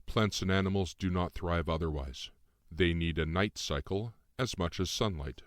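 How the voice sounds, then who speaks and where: A man performs a cryptic male spirit from an audiobook tale. The voice is deep and composed, with formal, measured explanations. It sits very dry, with no room around it. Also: no room sound, lightly acted. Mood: instructive. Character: calm, cryptic shape-shifting guide spirit in male form. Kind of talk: audiobook character dialogue.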